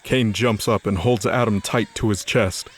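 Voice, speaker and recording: Narrator for a fantasy audio drama: male, lowish pitch, scripted storytelling. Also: steady tone, moderate pace, husky.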